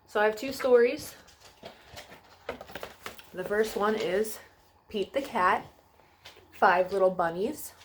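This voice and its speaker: A woman's voice: fairly high pitch, a bit bright, a little hiss or hum. A woman hosting a children's storytime, reading aloud and addressing young listeners in a sing-song way.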